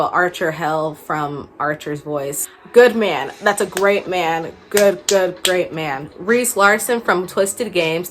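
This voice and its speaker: A woman's voice: lowish voice, a bit bright. A young adult woman chatting casually as a book vlogger, gushing and slangy, repeating words for emphasis. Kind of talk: casual chat to camera. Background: background music.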